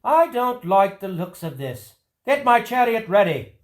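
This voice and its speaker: A man's voice: high voice, very animated, unhurried. An alarmed king crying treason. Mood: uneasy. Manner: urgent, alarmed. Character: anxious male king under threat. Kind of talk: audio drama acting.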